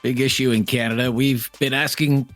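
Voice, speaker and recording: Talk radio host, dry close studio voice. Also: male voice, mid-range voice, steady tone, a bit bright, dry recording, soft background music. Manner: brisk, conversational host banter.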